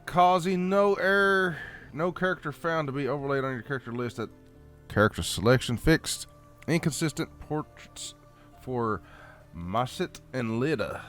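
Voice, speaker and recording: A man with a Southern American accent reads update notes aloud in a folksy, halting way, adding off-the-cuff comments. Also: medium pitch, expressive, a bit bright, soft background music. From Southern United States. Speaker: gaming channel host.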